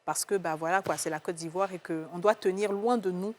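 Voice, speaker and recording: A woman's voice: lowish voice, brisk. A woman with an Ivorian accent hosting a casual panel discussion, speaking in a colloquial, exclamatory way. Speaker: talk show host.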